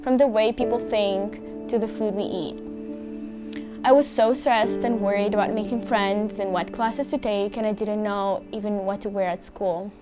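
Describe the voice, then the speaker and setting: A woman's voice: medium pitch, steady tone, normal speed, over music. A teenage girl from Tunisia, an exchange student, earnestly telling her story. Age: teens. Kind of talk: reading a personal story.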